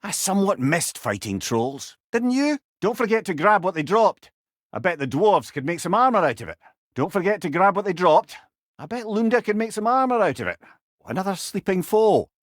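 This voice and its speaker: An actor playing a wry, clever older male storyteller companion in a video game, with a Scottish accent and a droll, expressive manner. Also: sits high, moderate pace, no echo, studio quality. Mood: wry, musing. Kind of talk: video game character dialogue.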